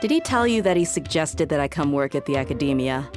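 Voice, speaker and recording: A woman's voice: low-pitched, animated, fast talker, over music. A woman playing a tough, easygoing and bold desert mercenary in a video game, speaking in a casual, self-assured way.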